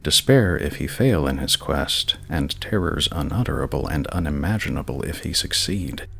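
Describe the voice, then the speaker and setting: Very deep, gravelly male horror narrator. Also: animated, moderate pace, a bit bright. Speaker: narrator, channel host. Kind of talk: slightly dramatic horror story reading.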